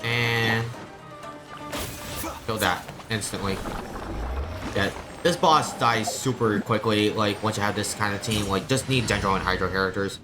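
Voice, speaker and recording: A man's voice: on the low side, a little slow, long pauses. A man streaming a video game, casually muttering and thinking aloud as he plays. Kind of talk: casual gameplay commentary. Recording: game music behind the voice. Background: video game music.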